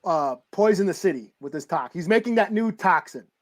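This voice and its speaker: Male co-host, high-pitched voice, casual interjections in a comics chat. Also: lively intonation, brisk. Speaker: podcast co-host.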